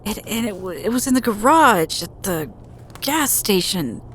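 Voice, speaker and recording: An actress playing a troubled, drowsy woman confiding haltingly in naturalistic audio drama. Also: mid-range voice, big pitch swings, a bit bright, a little hiss or hum. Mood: troubled, groggy. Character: troubled, hesitant woman confiding in a close friend.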